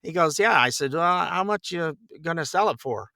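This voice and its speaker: A man's voice: a little high, normal speed, creaky, dry recording. A man in his sixties chatting casually as a podcast guest, telling anecdotes and acting out quoted remarks, close on a studio mic. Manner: animated storyteller, acts out quoted remarks, chuckles as talking. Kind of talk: casual anecdotal interview chat. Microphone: close studio microphone.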